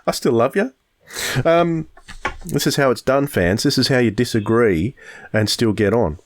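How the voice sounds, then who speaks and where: A man co-hosting a podcast in casual review chat, talking in a measured way and often restarting his phrases. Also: mid-range voice. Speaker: podcast co-host.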